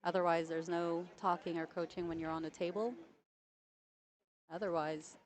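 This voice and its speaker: A woman with a slightly low voice hosts live pool coverage. She talks in a friendly, casual way, explains the rules clearly and laughs easily with her co-commentator. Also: level tone, normal speed, a little mellow.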